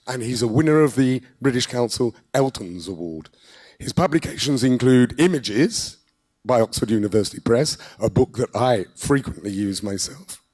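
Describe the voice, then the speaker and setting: A man with a hoarse, failing voice giving a formal, halting introduction of a speaker at a conference. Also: mid-range voice, expressive, a little mellow. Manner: halting, with fillers. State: hoarse, losing his voice. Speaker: event host introducing a speaker. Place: conference hall.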